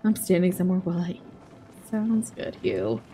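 A young woman in her twenties chatting casually while she plays a game on stream, thinking aloud through a headset mic, her voice a bit raspy today. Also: fairly low pitch, sing-song, normal speed, slight background noise. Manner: chatty, thinking aloud, soft asides to chat. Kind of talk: casual gameplay commentary.

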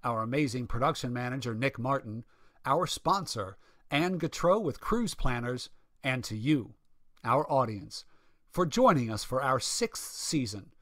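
A man's voice: medium pitch, very animated, a little slow, a bit bright, dry recording. Male radio show announcer, dry studio sound. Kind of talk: scripted announcer narration.

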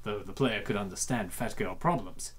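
A host reacting to and reading a comic aloud in an excitable, dramatic way, often repeating phrases. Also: low voice, normal speed, a little hiss or hum. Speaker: host of comic dub show.

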